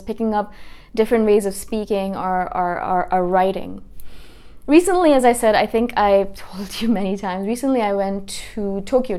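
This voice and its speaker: A woman in her thirties talks casually to her viewers, her accent a blend of British, Canadian and Indian, with Hindi as her first language. Her voice sits at an ordinary middle pitch. She rambles a little, restarting phrases and correcting herself as she goes. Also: a little mellow, smooth. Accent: mixed British, Canadian and Indian. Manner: chatty, rambling with frequent restarts and self-corrections. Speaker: YouTuber. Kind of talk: casual talking-to-camera vlog.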